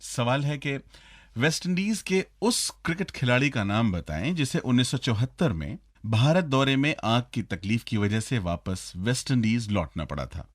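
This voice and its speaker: A man's voice: medium pitch, sing-song, normal speed, dry recording, studio quality. An Indian man co-hosting a radio show, politely teasing, with scripted announcements and light banter.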